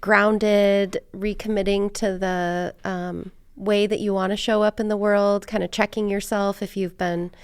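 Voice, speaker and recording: A woman's voice: medium pitch, little pitch movement, a little slow, few pauses, clean tone, no room sound, studio quality. A woman hosting a podcast, chatting casually in a conversational interview style. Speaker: podcast host.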